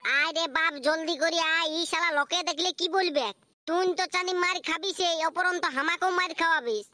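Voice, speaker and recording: Brash nagging village pal, extremely high pitched-up cartoon voice, fast, Purulia Bengali accent. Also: male voice, bright, forceful tone, exaggerated voice. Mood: scolding. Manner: brash, scolding, slangy. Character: nagging, impatient village buddy, young man. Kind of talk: comedy cartoon dialogue.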